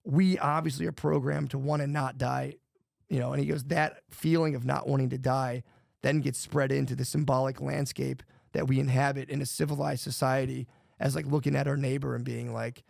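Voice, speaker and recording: A man's voice: mid-range voice, level tone, brisk, vocal fry, a little mellow, dry recording. A man co-hosting a podcast, rambling loosely and swearing, saying "dude" a lot, on a close studio mic. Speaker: podcast co-host. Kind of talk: casual podcast chat.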